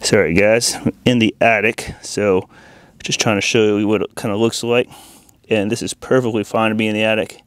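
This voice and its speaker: A man's voice: fairly low pitch, fairly even intonation, moderate pace, no room sound. An American man hosting a hands-on installation demo in an informal, folksy, chatty way. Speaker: audio-video installer and channel host. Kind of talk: casual hands-on install demonstration.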